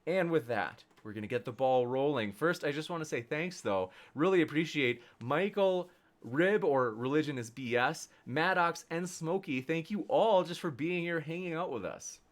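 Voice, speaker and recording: An enthusiastic man moderating an online debate. His voice sits fairly high and swings up and down a lot as he chats directly to viewers in an upbeat introduction. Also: lively intonation, moderate pace. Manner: enthusiastic, chatty, addresses audience directly.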